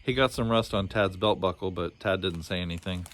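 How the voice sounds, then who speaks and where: Male narrator, low voice, reading a children's storybook. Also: fairly quick, a bit bright. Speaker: storybook reader.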